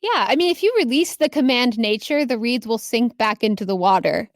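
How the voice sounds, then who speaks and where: A woman's voice: fairly high pitch, animated, brisk, dry recording, clean studio sound. A woman improvising narration as a tabletop game master, descriptive and conversational.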